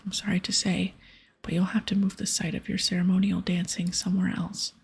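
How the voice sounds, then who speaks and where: A woman voicing a stern fantasy-game adventurer in a breathy, firm whisper. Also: fairly low pitch, level tone, brisk, darkish tone, full character voice. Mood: matter-of-fact. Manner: firm, accusing. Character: stern adventurer questioning and scolding a wrongdoer.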